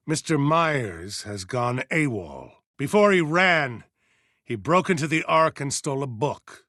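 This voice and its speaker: A stern, God-fearing militia colonel for a video game. His husky voice rises and falls expressively as he hands down solemn, measured orders. The recording is dry and close, keeping every clipped command stark. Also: medium pitch, fairly bright tone, dry recording, full character voice. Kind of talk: dramatic video game voice acting.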